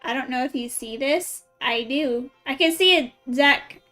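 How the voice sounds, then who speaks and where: A woman game streamer with a very high, bright voice. She chats casually and reacts playfully to the story, with faint music underneath. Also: measured pace. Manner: playful, exclaiming. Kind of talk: casual reactive livestream chat.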